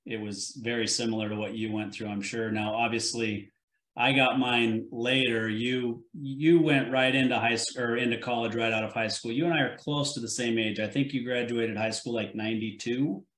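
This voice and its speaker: A man's voice: mid-range voice, steady tone, moderate pace, fairly bright tone. A man in his forties hosting a business podcast, talking in an easygoing way with frequent restarts. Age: 40s. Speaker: podcast host. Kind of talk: casual interview conversation. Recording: echoey room sound.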